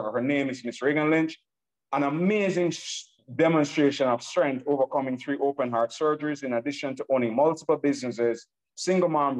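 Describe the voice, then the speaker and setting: A man's voice: mid-range voice, rough recording. A man from Nigeria giving an enthusiastic sales presentation on a laptop video call, repeatedly calling out to the audience. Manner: enthusiastic and hype-driven, repeats himself, addresses audience as ladies and gentlemen. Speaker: presenter of an online business webinar.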